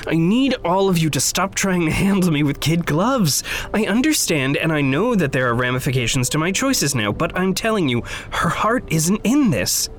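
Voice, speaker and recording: An actor playing an earnest, stubborn idealist in an audio drama, speaking in precise, formal, halting phrases. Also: male voice, fairly high pitch, very animated, slight background noise. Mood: exasperated, insistent. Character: earnest, stubborn idealistic man going undercover at a company. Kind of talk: naturalistic audio drama acting.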